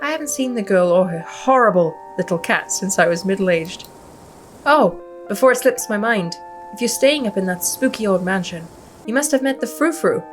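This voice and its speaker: A woman's voice: mid-range voice, expressive, moderate pace, faint music behind, close to an everyday voice, low-quality recording. Woman putting on a flowery, genteel old lady dog. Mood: catty. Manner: florid, old-fashioned Southern-belle phrasing.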